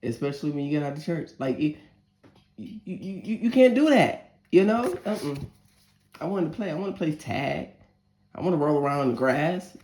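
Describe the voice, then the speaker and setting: A woman in her forties with a deep, gravelly voice reads tarot cards and says a prayer. She talks in a chatty, candid way and curses freely. Her voice rings in a roomy, echoey space. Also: lively intonation, moderate pace, darkish tone. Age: 40s. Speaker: tarot reader. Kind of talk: casual tarot reading with spoken prayer. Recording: roomy echo.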